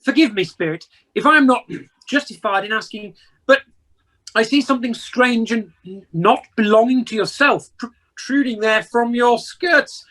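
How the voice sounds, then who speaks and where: A man's voice: very high voice, moderate pace, pushed and bright. A man playing an elderly, humbled miser with formal, theatrical delivery in an amateur radio play. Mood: uneasy. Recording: noisy amateur video call audio.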